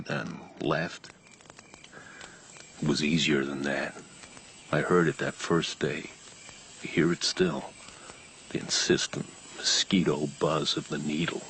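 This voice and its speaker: Fatalistic ex-carnival man: extremely low voice, terse brooding storyteller, hushed and weary, faint ambience. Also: slight background noise, full character voice. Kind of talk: radio drama storytelling monologue.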